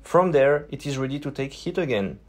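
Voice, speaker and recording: A man's voice: mid-range voice, lively intonation, brisk, darkish tone, soft background music. French-accented man explaining heat pumps. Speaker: host. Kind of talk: scripted explainer narration.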